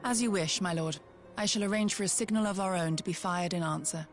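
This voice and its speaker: Woman playing serious ninja retainer lady in a fantasy game, slightly low voice, formal, music behind. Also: a little fast, natural voice. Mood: dutiful. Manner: formal, deferential. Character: loyal, dutiful female shinobi retainer, serious. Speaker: game voice actor. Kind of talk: scripted game dialogue.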